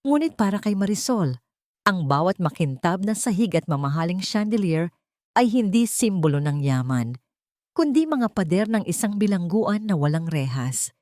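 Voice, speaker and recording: A woman's voice: on the low side, big pitch swings, normal speed, darkish tone, no echo, clean studio sound. A woman narrating a scripted, dramatic story.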